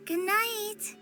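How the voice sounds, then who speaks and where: A woman voicing a gentle, shy young girl in a video game, soft and hesitant. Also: very high-pitched, heavily acted. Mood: sleepy.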